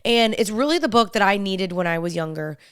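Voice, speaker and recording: A young woman chats through her podcast in a friendly, upbeat way, speaking right to her listeners. A lingering cold leaves her slightly nasal and congested. Her voice stays very smooth and clear, recorded close and dry with no room sound. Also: mid-range voice, expressive, brisk, fairly bright tone, no room sound. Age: young adult. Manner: chatty and upbeat, addresses listeners as "you guys". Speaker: podcast host. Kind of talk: casual solo podcast chat.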